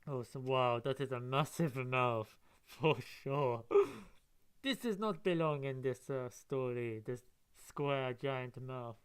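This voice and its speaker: A man's voice: mid-range voice, slow, clear, resonant tone. A man reading a comic aloud and commenting on it casually, with musing, rambling asides. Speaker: comic book reader and reviewer. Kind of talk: casual read-along with running commentary.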